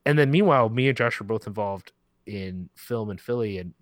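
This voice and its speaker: A man's voice: fairly low pitch, animated, fairly quick, dry recording. A man talking casually in an interview as a podcast guest, informal and rambling, often correcting himself mid-sentence. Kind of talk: casual conversational interview talk.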